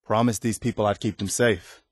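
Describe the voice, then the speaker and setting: Game role: weary but idealistic militiaman, sincere, measured, lowish voice, close dry recording. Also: steady tone, slightly rough, dry recording. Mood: earnest, reassuring. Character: earnest, weary, idealistic male militia leader in a wasteland. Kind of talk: video game character dialogue.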